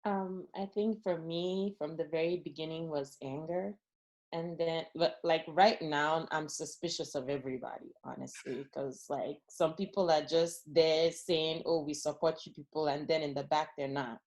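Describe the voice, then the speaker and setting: Panelist, woman from Nigeria, low voice, loose casual delivery. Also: steady tone, moderate pace, a little mellow. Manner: casual, with many "like" fillers. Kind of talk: panel discussion.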